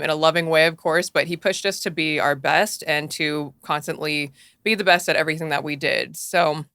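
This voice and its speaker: An American woman from Los Angeles in her thirties, a podcast guest talking casually in a studio, upbeat and quick to laugh. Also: low-pitched, a bit bright, clean tone, no room sound. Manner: upbeat, laughs often, lists things quickly. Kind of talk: casual interview chat.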